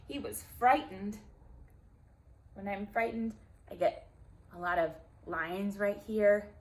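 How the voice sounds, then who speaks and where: A woman storyteller reading aloud to young children in a deliberate, emphatic way, with simple explanatory asides. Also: mid-range voice, low-quality recording.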